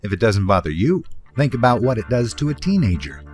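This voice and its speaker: A man's voice: mid-range voice, very animated, brisk, a little mellow, soft background music. A man reading scripted nonfiction narration in an explanatory manner, speaking directly to the listener. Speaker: audiobook narrator, author reading own book.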